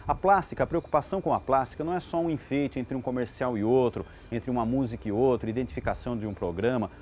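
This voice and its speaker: A man's voice: mid-range voice, quick pace, a little mellow. A man with a Brazilian accent giving explanations in an interview. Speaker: radio station interviewee. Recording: faint background ambience noise.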